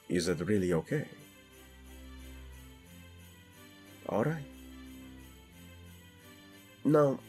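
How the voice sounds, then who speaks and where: A man's voice: medium pitch, warm tone. A man playing a flirtatious, doting prince and husband in a romantic audio roleplay, speaking intimately and expressively. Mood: bemused, softening.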